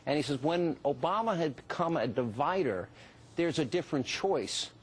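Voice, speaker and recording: Man, rough husky voice, live political commentary, conversational. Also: a little high, animated, normal speed. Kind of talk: live news analysis.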